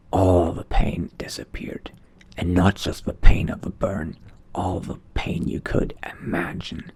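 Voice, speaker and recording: A man playing a male dark elf vampire mage in a video game roleplay, speaking in a hushed, deliberate, brooding way. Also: low voice, heavily acted. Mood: reverent, intense. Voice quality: husky, whispery, gravelly. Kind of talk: in-character game roleplay monologue.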